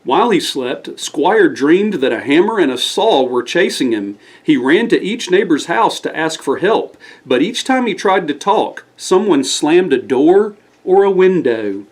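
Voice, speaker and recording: A man's voice: fairly high pitch, sing-song, measured pace, hardly any pauses. A man reading a scripted bedtime story aloud as the storyteller.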